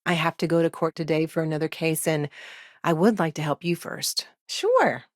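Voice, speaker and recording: A woman voicing a weary, earnest lawyer in a video game, speaking in measured, articulate, formal phrases with wry asides. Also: sits low, no room sound, clean studio sound, lightly acted. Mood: wry, businesslike. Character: exhausted, dry-witted woman lawyer, earnest and principled. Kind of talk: naturalistic video game dialogue acting.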